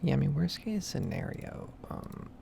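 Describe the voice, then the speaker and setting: A woman speaking in a soft, breathy whisper, rambling casually in an ASMR gameplay style, close to the mic with typing and clicking behind her. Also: sits low, big pitch swings, measured pace, a little hiss or hum. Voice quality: breathy, soft-spoken whisper with heavy vocal fry. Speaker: gaming streamer and ASMR creator.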